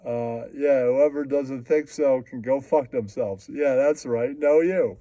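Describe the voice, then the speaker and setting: A man's voice: mid-range voice, a little slow, mellow, dry recording, close to an everyday voice. A man voicing a slangy, boastful, foul-mouthed puppet who repeats catchphrases in comic puppet show banter. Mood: mock-earnest.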